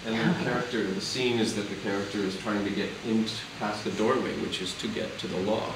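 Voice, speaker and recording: Man, fairly deep, informal halting explanation, off-mic, noisy surroundings. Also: steady tone. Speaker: puppet show presenter. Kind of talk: casual explanation to camera visitor. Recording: echoey room, distant mic, loud background noise.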